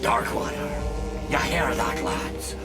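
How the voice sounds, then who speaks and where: A man's voice: mid-range voice, very animated, slow pace, over loud music. An actor playing a bullying, theatrical space pirate henchman in a video game, with a stage pirate accent. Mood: menacing. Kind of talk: video game cartoon acting.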